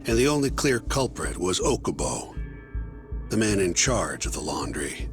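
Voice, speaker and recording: A man's voice: low-pitched, big pitch swings, moderate pace, long reverb tail, over music. An actor playing a blunt, skeptical male partner who dismisses the case. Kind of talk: video game voice acting.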